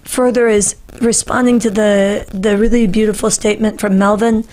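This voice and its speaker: A woman's voice: medium pitch, level tone, normal speed, a little mellow, rough recording. Earnest, emphatic middle-aged woman commentator. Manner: earnest, emphatic, repeats phrases for stress. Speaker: show host. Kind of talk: impassioned political panel commentary. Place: small book-lined studio, indoors. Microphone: boom-arm podcast microphone, close.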